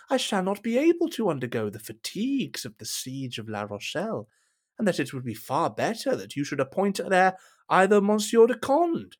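An actor playing a scheming, falsely humble cardinal in an audio drama, with a theatrical, unctuous manner. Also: male voice, on the high side.

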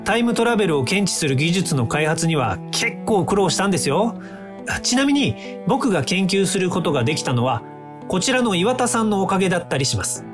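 An actor in an audio drama playing an earnest, polite young time-traveling inventor who explains things courteously. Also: male voice, high voice.

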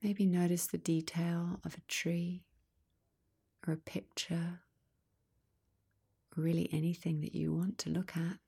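A woman leading a guided meditation in a soft, breathy near-whisper, calm and unhurried, with long pauses between short phrases. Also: lowish voice.

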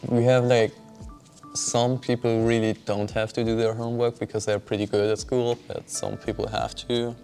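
Flat-voiced young man. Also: mid-range voice, moderate pace, faint music behind. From Germany. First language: German. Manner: hesitant, many fillers. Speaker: guest student. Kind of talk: casual interview answers.

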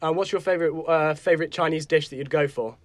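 Quick, hesitant male interviewer. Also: on the high side. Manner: hesitant, many "uh" fillers. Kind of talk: studio interview.

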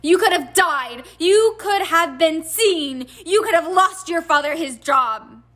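Woman performing a middle-aged storybook mother, frantic, scolding, raised pitch, forceful, shrill. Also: very animated, measured pace, exaggerated voice. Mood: furious, yelling.

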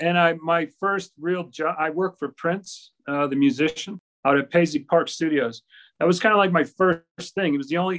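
A middle-aged man from the American Midwest chats as an interview guest. His voice is a little higher than most men's, and he rambles informally, looping back over his phrases. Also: fairly bright tone, low-quality recording. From US Midwest, grew up in Minnesota. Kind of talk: casual conversational recollection.

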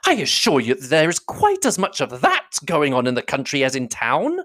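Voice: medium pitch, wildly swooping pitch, moderate pace, pitched above the performer's own voice. A reader voicing a fussy, effusive middle-aged mother who is easily offended, with a gushing, emphatic manner. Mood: indignant, triumphant.

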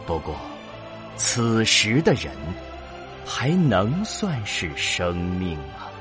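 Slow, low-voiced male sci-fi narrator. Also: huge pitch swings. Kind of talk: scripted sci-fi audio drama narration. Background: music under the voice.

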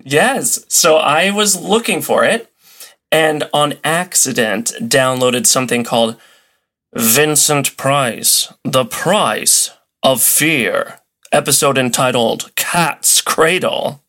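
A man's voice: mid-range voice, slow, sharp tone. A man chatting casually as a podcast guest, telling stories in an enthusiastic, playfully dramatic way. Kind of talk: casual chat, storytelling.

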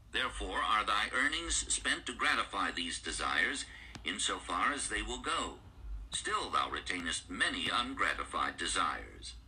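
A man reads an audiobook in a low voice with a very bright, forceful tone. His intonation moves expressively up and down. The delivery is formal and oratorical, suited to archaic storytelling prose. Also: a little hiss or hum, low-quality recording. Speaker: audiobook narrator. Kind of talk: formal scripted narration of an archaic-styled book.

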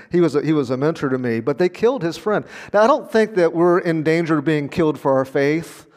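Man giving a sermon, slightly high voice, conversational and earnest, long hall reverb. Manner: conversational, earnest, with small laughs. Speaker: pastor.

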